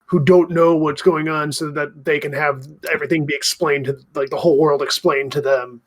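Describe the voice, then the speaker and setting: A man's voice: fairly high pitch, steady tone, moderate pace. A man co-hosting a podcast in casual, informal chat with an amused manner. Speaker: podcast co-host.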